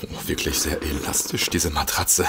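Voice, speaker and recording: An actor playing a nervous, fretful amateur detective in an audio drama, hesitant, flustered and muttering to himself. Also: male voice, rumbling low, fairly even intonation, moderate pace, creaky, noisy background. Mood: musing. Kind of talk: German audio drama acting.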